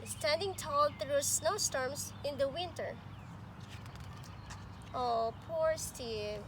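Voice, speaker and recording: Woman, pre-K storytime reader: high voice, expressive, pauses for questions to little listeners, noisy amateur recording. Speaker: pre-K teacher reading aloud to children. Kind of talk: expressive picture-book read-aloud with asides.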